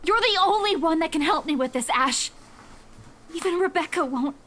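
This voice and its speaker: A woman's voice: very high-pitched, lively intonation, normal speed, a bit bright. A woman voicing a desperate, stubborn young woman pleading in a visual novel game.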